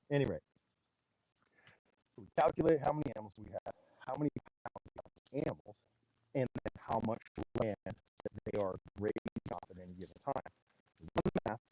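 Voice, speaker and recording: A man with a booming voice, a rancher, casually explaining grazing math on a livestream from his pasture. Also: warm tone, rough recording. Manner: casual, folksy, explains step by step. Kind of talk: livestream teaching talk, chatting with viewers. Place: outdoors in a pasture among cattle. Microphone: small mic clipped to his hat.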